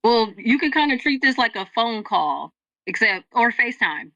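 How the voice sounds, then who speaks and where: A woman's voice: a little high, big pitch swings, moderate pace, sharp tone, muffled recording. A woman interviewer asking questions in a casual interview over a call, chatty and loose, often fumbling and restarting her questions.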